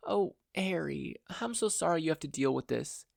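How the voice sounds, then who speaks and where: Man voicing a higher-pitched, caring teenage brother. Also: lively intonation, moderate pace, gravelly, darkish tone, no room sound, studio quality. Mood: sorrowful, tender. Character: caring, determined teenage big brother, protective and comforting.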